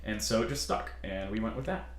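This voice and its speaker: A man from California with a generally deep voice, hosting a casual question-and-answer chat and talking in a hesitant, easygoing way with lots of fillers. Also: fairly quick. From California, USA. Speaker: channel host. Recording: roomy echo, faint noise.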